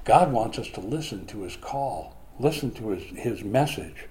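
A man preaching a conversational Bible lesson, animated at times and hushed at others. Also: medium pitch, moderate pace. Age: older. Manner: animated, swings from loud to hushed.

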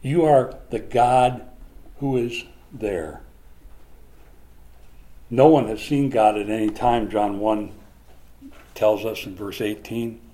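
A man preaching a conversational Bible lesson, animated at times and hushed at others. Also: on the low side, slow pace, pauses often. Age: older. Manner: animated, swings from loud to hushed.

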